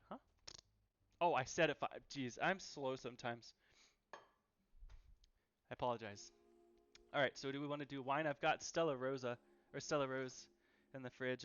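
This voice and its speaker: A man's voice: mid-range voice, fairly bright tone, clear, resonant tone. A man hosting a casual live cooking stream from his home kitchen, chatting in an easygoing way and repeating phrases for emphasis. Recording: dull, lo-fi livestream audio.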